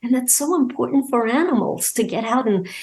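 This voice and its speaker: A woman's voice: fairly high pitch, animated, a little fast, darkish tone, slight background noise, low-quality recording. A woman talking casually as an interview guest, hesitant and wandering as she searches for words. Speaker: podcast guest and voice actor.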